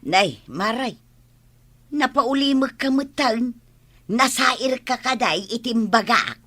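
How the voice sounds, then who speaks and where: A woman's voice: on the high side, expressive, takes it slowly, a bit bright, heavily acted. A woman with an Ilocano accent acting a gossipy village neighbour in a radio comedy drama.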